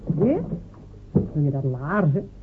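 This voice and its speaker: An actress playing a sharp-tongued female village cobbler and loyal wife, who talks in a dry, teasing, stubborn way. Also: sits low, sing-song, slow pace, noisy background, heavily acted. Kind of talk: radio drama acting. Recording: phone-like old radio recording.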